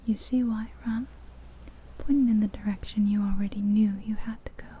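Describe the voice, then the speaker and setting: A woman softly narrating an ASMR guided visualization in a breathy, whispery, soothing voice, close to the microphone, with gentle pauses. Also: mid-range voice, steady tone. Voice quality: breathy, whispery soft-spoken voice. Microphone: close ear-to-ear ASMR microphone.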